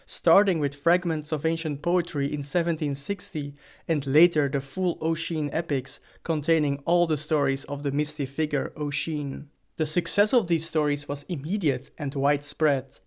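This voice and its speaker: A man hosting a channel, giving a scripted explainer narration in a measured, even storytelling manner. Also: on the high side. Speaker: host. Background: faint background music.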